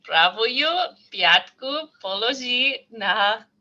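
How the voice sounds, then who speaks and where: Woman on a call sounding unsure, slow and really bright, muffled audio. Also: on the high side, expressive. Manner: hesitant, careful repeating. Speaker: trainee on the call.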